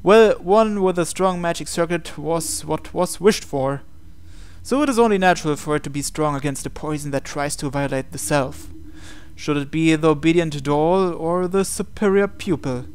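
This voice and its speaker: A man with a slightly high, clean and resonant voice hosts a game playthrough. He reads visual novel narration aloud and adds his own commentary. His delivery is measured, with pauses between phrases. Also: smooth.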